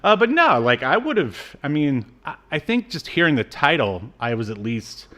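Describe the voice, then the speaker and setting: A man's voice: a little high, very animated, moderate pace, bright, forceful tone. A man in his thirties or forties co-hosting a podcast, casually recapping a show with wry, mocking asides. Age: 30s to 40s. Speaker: podcast co-host. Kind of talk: casual chat recapping a cartoon.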